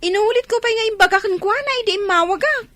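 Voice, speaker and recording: A woman's voice: very high-pitched, quick pace, pushed and bright. A woman acting a nagging village wife who worries about her family in a radio drama. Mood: complaining.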